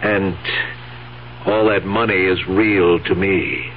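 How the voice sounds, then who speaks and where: An actor playing a scheming, impatient nephew in a 1970s radio drama, speaking firmly and deliberately with an exasperated edge. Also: male voice, low voice, steady tone. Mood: calculating. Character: scheming, impatient nephew humouring his aunt. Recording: dull old radio recording, faint hum.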